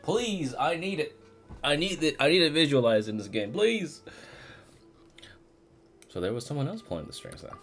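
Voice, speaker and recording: A man's voice: a little high, very animated, normal speed, long pauses. A man giving casual, slangy, reactive commentary while streaming a game. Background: game music.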